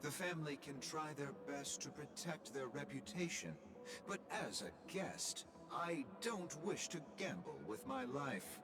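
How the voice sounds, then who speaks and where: A man's voice: fairly high pitch, animated, measured pace. A man voice actor playing a distrustful, demanding guest in an anime game, formal and stern in delivery. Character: indignant wealthy male guest, distrustful and demanding. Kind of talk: scripted anime game dialogue. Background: game music.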